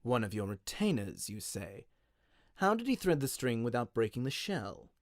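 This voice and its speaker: A man's voice: mid-range voice, sing-song, normal speed, dry recording, clean studio sound. Man as a cold, menacing aging king. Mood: cold, controlled. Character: tall, cruel, stern aging king, coldly menacing.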